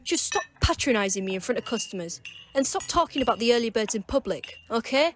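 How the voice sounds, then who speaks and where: A woman's voice: on the high side, lively intonation, fast talker, lightly acted. A woman playing a curt, prickly, proud woman. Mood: annoyed. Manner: curt, cutting. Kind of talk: audio drama acting.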